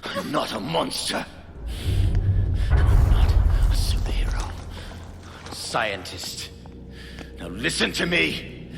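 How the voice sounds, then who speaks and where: A desperate, pleading scientist in a game cutscene. His high voice is gravelly and swings widely as he argues his case, with long reverb and music around him. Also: sing-song, slow pace, pauses often, whispered, full character voice. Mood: intense, insistent. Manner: pleading, intense, argumentative. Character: desperate male scientist fighting a monstrous transformation within himself. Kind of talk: dramatic video game cutscene acting.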